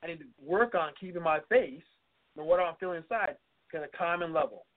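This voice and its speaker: A man co-hosting an advice talk show, chatting and telling stories from his own life. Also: on the high side. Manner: quick, conversational, tells personal stories. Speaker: co-host. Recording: phone-like narrow audio.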